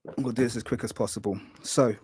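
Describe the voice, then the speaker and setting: Mellow man explaining step by step. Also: mid-range voice, fairly even intonation, a little hiss or hum. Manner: thorough, apologetic, keeps saying really quickly. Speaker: guest explaining hieroglyphs. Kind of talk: live-stream lecture with visual aids.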